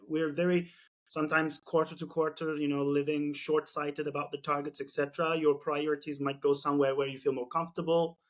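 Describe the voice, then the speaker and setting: A man from Turkey, speaking English with a Turkish accent, hosting a casual business podcast and thinking aloud with many fillers and restarts. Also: a little high. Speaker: podcast host.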